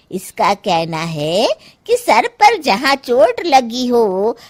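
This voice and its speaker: A woman plays a wily, put-upon older mother-in-law in a comic cartoon. She grumbles sarcastically, drawing her words out very slowly with big, lively pitch swings. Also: heavily acted. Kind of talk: Hindi cartoon comedy acting.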